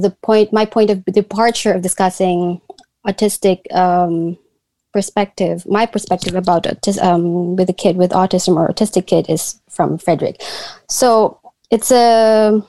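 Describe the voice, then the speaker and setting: A woman's voice: medium pitch, dark tone, no room sound. A woman in her thirties from the Philippines, a guest in a conversational interview, speaking hesitantly with frequent restarts. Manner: hesitant, many restarts and fillers.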